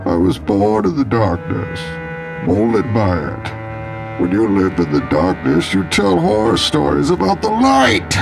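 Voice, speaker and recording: A man's voice: on the low side, sing-song, gravelly, over music, heavily acted. A man voicing a menacing, grandiloquent villain who tells horror tales in a theatrical radio style. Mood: grand menace. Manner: grandiloquent, dramatic, drawn-out delivery. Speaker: storyteller.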